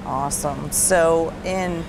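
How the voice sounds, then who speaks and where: A woman's voice: deep voice, slow pace, creaky. A middle-aged woman asking conversational interview questions. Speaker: interviewer, publisher. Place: trade show booth, indoors. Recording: loud trade show floor noise.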